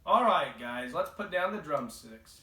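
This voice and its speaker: A man's voice: a little high, animated, measured pace, sharp tone. A man voicing a mild-mannered, fatherly substitute teacher in a children's storybook, speaking plainly and earnestly. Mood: firm. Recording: echoey, roomy home recording.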